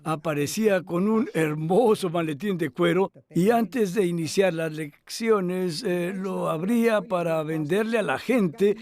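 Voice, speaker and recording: High-pitched older man, thoughtful interviewee. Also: a little mellow. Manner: unhurried, thoughtful, with hesitant fillers. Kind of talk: reflective interview talk.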